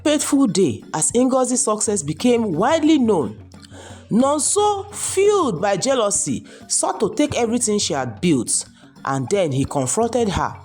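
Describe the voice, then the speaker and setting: A woman's voice: medium pitch, very animated, measured pace, darkish tone. A woman storyteller reading a scripted folktale narration. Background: music behind the voice.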